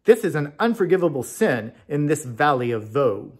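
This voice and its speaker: A man's voice: a little high, big pitch swings, moderate pace, breathy edge, heavily acted. A man voicing a grumpy, fat little magical man who threatens and pleads in a storybook tale. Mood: grumpy, threatening. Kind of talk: storybook character reading.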